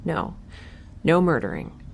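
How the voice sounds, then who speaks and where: An actress playing a wry, chatty scientist recording an audio diary in space, informal and rambling. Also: female voice, low voice, sing-song, a little slow, vocal fry, a little hiss or hum, exaggerated voice. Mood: awkward, playful.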